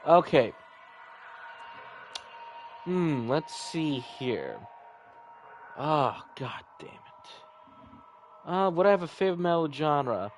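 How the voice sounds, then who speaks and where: A man's voice: fairly high pitch, sing-song, slow pace, pauses often, slight background noise. A man reading a visual novel aloud in an informal, chatty playthrough style, rambling a little. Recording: music playing behind the voice. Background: background music.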